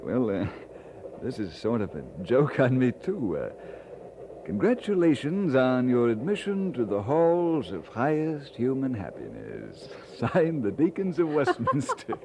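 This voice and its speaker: A man's voice: mid-range voice, huge pitch swings, measured pace. A man playing a good-humored young Scottish minister in a radio drama, polite and affectionate with an absent-minded streak. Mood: amused. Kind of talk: old-time radio drama acting. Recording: noisy old radio drama recording.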